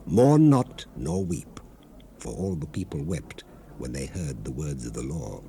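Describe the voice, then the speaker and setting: A man narrating scripture in a dramatized reading, grave and declamatory, with measured, emphatic phrasing. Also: a bass voice, lively intonation, vocal fry, mellow. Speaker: narrator of a dramatized Bible recording. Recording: noisy 1970s cassette rip. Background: ambient sound effects, tape noise.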